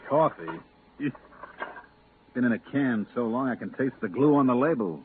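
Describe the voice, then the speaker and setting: A man's voice: mid-range voice, expressive, moderate pace, close to an everyday voice. An actor playing a weary, bitterly sarcastic man stuck at a moon base, curt and grumbling, in 1950s radio drama style. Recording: tinny 1950s radio recording, faint music.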